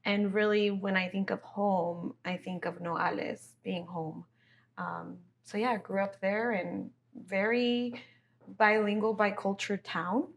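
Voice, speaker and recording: A woman from Mexico talks on a podcast as an interview guest, in a medium-pitched, easygoing voice. She rambles a little with fillers and slips in the odd phrase in Spanish. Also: fairly even intonation, a little slow, gravelly, a little hiss or hum. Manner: chatty, many fillers, switches into Spanish phrases. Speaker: chamber executive director, guest.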